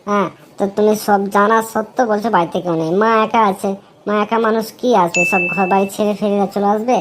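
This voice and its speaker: A woman's voice: mid-range voice, fairly even intonation, quick pace, a little hiss or hum, natural voice. A woman voicing a young wife in a dubbed cartoon, complaining in a plaintive way over music. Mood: aggrieved. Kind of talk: cartoon dubbing. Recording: clear music behind.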